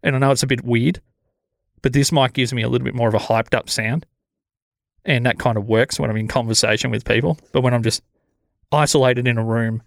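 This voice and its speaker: An Australian man hosting a podcast in relaxed, low-energy casual chat, with a sibilant voice on a studio mic. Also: gravelly, no room sound. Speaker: podcast host. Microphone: studio dynamic mic.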